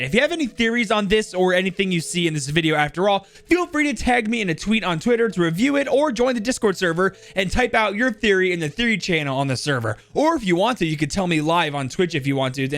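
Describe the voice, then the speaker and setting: A man's voice: high-pitched, a bit bright. A man narrating casual game lore commentary for a video.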